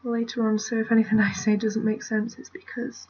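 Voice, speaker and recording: A woman's voice: fairly high pitch, monotone, fairly quick, a little mellow, breathy edge, lo-fi sound. A British woman giving a casual, rambling drawing tutorial while she works, often trailing off into mutters and whispers, recorded on a computer mic at her family home. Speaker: art tutorial creator.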